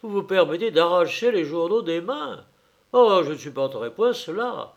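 A man's voice: high voice, lively intonation, normal speed, pitched above the performer's own voice. Pompous bank director, prim and indignant, read by a man.

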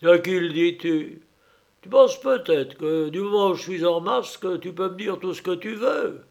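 Man doing a loud jeering masked drunk. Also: high-pitched, moderate pace, vocal fry, voice pushed up. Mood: angry. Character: rowdy drunk masked man, bossy and mocking.

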